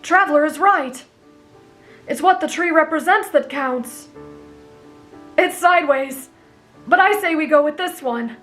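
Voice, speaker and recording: A woman acts out a kind-hearted teenage anime boy with a high voice. He is polite and earnest, explaining things gently and patiently, with faint music behind him. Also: unhurried, long pauses. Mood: gentle, patient. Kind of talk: anime fan roleplay audio drama.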